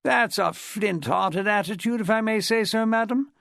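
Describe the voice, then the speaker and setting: A man plays a brash, posh eccentric from a storybook, lively and high in pitch, needling everyone. The sound is dry and close. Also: normal speed, gravelly, no echo, full character voice.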